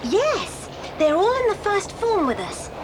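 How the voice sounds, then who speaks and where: A woman playing a bright, bossy, chatty British schoolgirl in a children's audio drama, brisk and gushing. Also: very high voice, wildly swooping pitch, noisy background, heavily acted.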